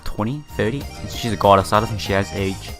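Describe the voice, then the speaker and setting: A man's voice: on the low side, brisk, over music. A man reading a visual novel aloud in a casual playthrough, stumbling over words now and then and reacting with his own commentary. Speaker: gaming YouTuber.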